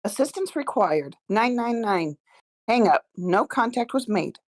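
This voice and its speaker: Brisk emergency operator, read by a woman, higher register, lively intonation, official table-read delivery. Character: brisk emergency dispatcher on phone and police radio.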